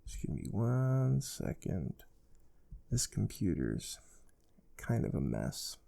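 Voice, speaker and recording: A man playing a calm, soft-spoken space research team interviewer in an ASMR role play, explaining things gently and without hurry. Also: lowish voice, takes it slowly, gravelly, heavily acted. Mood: calm, businesslike. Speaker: ASMR performer.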